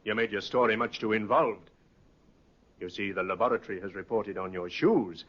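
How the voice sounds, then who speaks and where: A man's voice: on the low side, normal speed, husky. A man playing a stern, methodical police inspector in a 1950s radio drama, with dry, accusing sarcasm. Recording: dull vintage radio recording.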